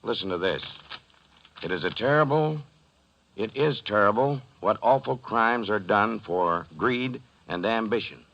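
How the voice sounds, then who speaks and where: A man's voice: on the low side, animated, measured pace, muffled recording. A man playing a blunt, fatherly middle-aged protector in a 1940s radio drama. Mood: grave. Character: fatherly, folksy, blunt older male protector. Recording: band-limited vintage 1940s radio recording.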